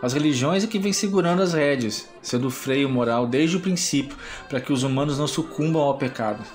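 A man's voice: mid-range voice, fairly quick, soft background music, close to an everyday voice. An actor playing a cynical, mocking male demon who speechifies with sardonic disdain for humans in an audio drama.

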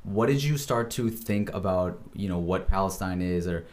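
A man interviewing a guest in a relaxed, curious way. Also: deep voice, normal speed, a little hiss or hum. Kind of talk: casual interview conversation.

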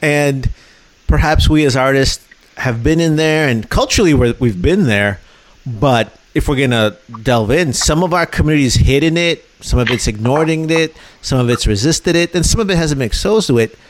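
A man's voice: on the high side, lively intonation, moderate pace. Eager male radio co-host. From Chicago. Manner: enthusiastic, repeats words when starting sentences. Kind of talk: radio interview, asking questions.

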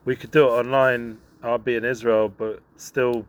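A man's voice: mid-range voice, little pitch movement, normal speed. A man from Northwest London with a London accent, chatting casually and agreeably as a podcast guest. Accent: London English. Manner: easygoing, agreeable, frequent short assents. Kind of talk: casual chat.

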